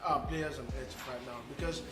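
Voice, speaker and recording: Push your point fast and high, a guy arguing and tripping over words, set back from the mic in a boomy room. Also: steady tone. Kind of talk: casual debate. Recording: echoey room from a distance with loud music.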